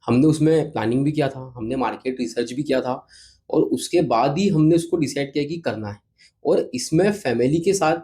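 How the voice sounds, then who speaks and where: An Indian-accented man speaks as an interview guest in a mellow, mid-pitched voice. His delivery is conversational and explanatory, with polite address to the interviewer. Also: normal speed. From Indore, India. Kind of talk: conversational interview answers mixing Hindi and English.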